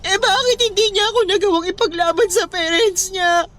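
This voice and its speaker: A woman's voice: very high voice, fairly quick. A woman playing a heartbroken young woman in a Filipino radio drama, pleading tearfully and whining about her breakup. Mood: tearful, anguished.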